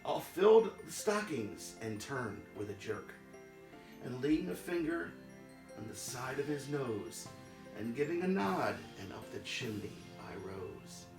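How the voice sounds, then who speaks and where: A man's voice: very animated, unhurried. A man playing a jolly Santa Claus who reads a Christmas storybook poem aloud in a theatrical, dramatic way. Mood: merry storytelling. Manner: theatrical, swelling into loud calls and dropping low. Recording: roomy echo, music behind.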